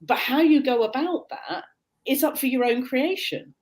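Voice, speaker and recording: A woman's voice: sits high, moderate pace, darkish tone. A British woman, a coach, answering interview questions in an earnest, explanatory way with frequent restarts, over a video call. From Britain. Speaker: guest, neurodiversity coach.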